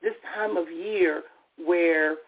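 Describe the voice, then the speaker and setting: A woman with a raspy voice, recovering from a cold, hosting a solo radio talk show as a therapist, speaking in a heartfelt, personal way with restarts and stammers. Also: lowish voice, slow. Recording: tinny phone-line call-in audio.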